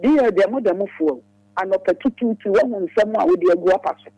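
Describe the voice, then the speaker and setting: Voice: medium pitch, lively intonation, a little fast, no room sound, dull audio. A caller with a Ghanaian accent giving comments on a radio phone-in show.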